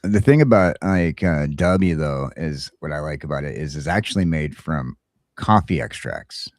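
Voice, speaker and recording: A man's voice: extremely low pitch, lively intonation, moderate pace, dry recording, studio quality. A man talking casually as a talk show host, rambling and stammering, often repeating himself for emphasis. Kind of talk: casual morning show chat.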